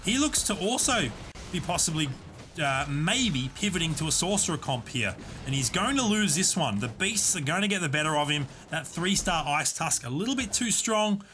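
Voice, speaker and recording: Bright-voiced male game caster. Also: fairly high pitch, normal speed, a little hiss or hum. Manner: steady, explanatory play-by-play. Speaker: esports caster and streamer. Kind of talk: live game commentary. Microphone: desk USB condenser mic.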